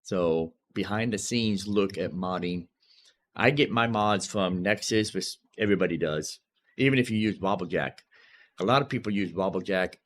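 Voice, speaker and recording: A man's voice: low voice, moderate pace. A man casually commentating as a gaming streamer, thinking aloud and informally talking through each step. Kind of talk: casual gameplay and modding commentary. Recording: clearly noisy background.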